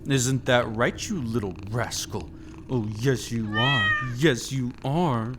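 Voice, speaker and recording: A man playing a mysterious, gleefully sinister keeper of a haunted house in an audio roleplay, speaking to the listener with teasing, drawn-out, theatrical phrasing. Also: medium pitch, heavy background noise, lightly acted. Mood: playful cooing. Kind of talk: scripted immersive audio roleplay, storytelling.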